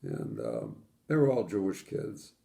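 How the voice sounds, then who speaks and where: A man's voice: low-pitched, wildly swooping pitch, measured pace, gravelly, mellow. An older man from Poland, telling his childhood memories in an oral history interview with dry, matter-of-fact humor.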